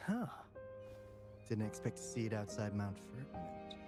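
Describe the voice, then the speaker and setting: A theatrical, mysterious young man from a video game, effusive and dramatic. His voice leaps and swoops through a wide range, with loud music behind it. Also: on the low side, sing-song, measured pace. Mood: curious, composed.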